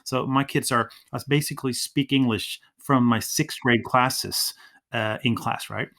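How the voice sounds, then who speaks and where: A man's voice: mid-range voice, fairly even intonation, unhurried, vocal fry, fairly bright tone. A Swedish man speaking English as a second language, a teacher chatting in an interview, often restarting his sentences. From Sweden. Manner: restarts a lot, acts out classroom dialogue. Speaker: ESL teacher, podcast guest. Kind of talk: casual interview conversation.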